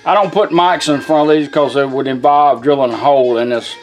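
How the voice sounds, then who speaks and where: A man's voice: mid-range voice, fairly even intonation, a little fast, faint music behind. A middle-aged man gives an informal, plain-spoken product demonstration, explaining each step in turn. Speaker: vintage car radio technician demonstrating a product. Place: small indoor workshop at a bench.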